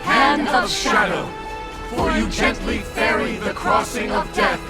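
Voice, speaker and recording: Super high pitched game herald, grand and reverent, swooping slowly over loud music. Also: male voice, sing-song, heavily acted. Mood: exalted, reverent. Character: fervent herald chanting solemn hymns of praise to gods. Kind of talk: theatrical liturgical declamation.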